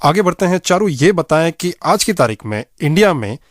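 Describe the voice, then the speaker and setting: A man's voice: on the high side, big pitch swings, fairly quick, dry recording, studio quality. A man hosting a radio interview in a polite, appreciative manner. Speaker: radio show host and interviewer.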